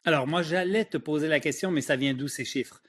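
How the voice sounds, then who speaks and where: A middle-aged man speaks French with a Quebec accent and a somewhat high-set voice. He gives opinionated, emphatic explanations in the manner of an expert guest being interviewed. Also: a little fast, a bit bright. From Switzerland, moved to Quebec at ten. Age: middle-aged or older.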